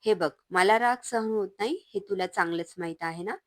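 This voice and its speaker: A woman voicing an angry, domineering husband who shouts and sneers at his wife. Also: mid-range voice, normal speed, dry recording, clean studio sound, natural voice. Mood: placating. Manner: shouting, scornful, loud.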